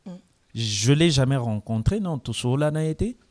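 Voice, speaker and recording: A man speaks at a press conference. His voice sits at a middle pitch, and he answers in a measured, reflective way, dropping Lingala phrases between his sentences. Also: lively intonation. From Congo. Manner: mixes French with Lingala, reflective.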